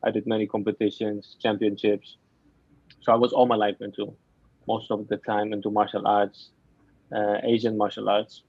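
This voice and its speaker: Guest coach, male, from Afghanistan and Germany, halting speech full of restarts; low monotone voice, dry lo-fi recording. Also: breathy edge, no echo. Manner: halting, searching for words, with many restarts. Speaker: guest, mental training coach. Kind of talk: casual interview talk.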